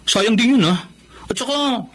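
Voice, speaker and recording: A man's voice: very high voice, unhurried, a bit bright, heavily acted. A man acting a chatty, easygoing waiter friend with a gossipy, breezy manner in a Filipino radio drama. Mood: excited, teasing.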